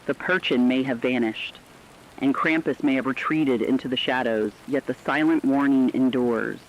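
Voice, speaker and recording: Man acting radio drama reporter, medium pitch, formal, foreboding, measured narration, dull lo-fi audio. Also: close to an everyday voice. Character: solemn male radio reporter warning of ancient judgment.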